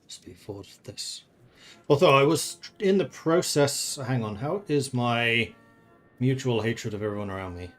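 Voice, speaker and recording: A man's voice: medium pitch, animated, normal speed, soft background music. A man from the Netherlands who grew up in England, streaming a strategy game and thinking aloud in casual commentary, chatting with viewers and laughing often.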